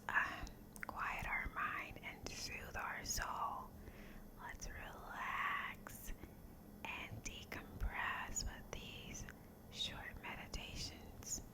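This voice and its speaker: Slow whispering woman, ASMR reading. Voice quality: breathy, unvoiced whisper. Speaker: ASMR video host reading guided meditations aloud.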